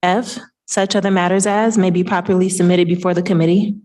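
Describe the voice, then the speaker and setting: A woman clerk calling the roll and reading agenda items in a brisk, formal way. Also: on the low side, flat delivery, a little mellow.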